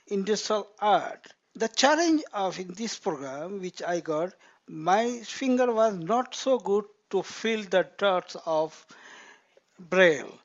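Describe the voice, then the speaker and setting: A man from Pakistan, a program alumnus speaking slow, halting learner English. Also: high voice, lo-fi sound.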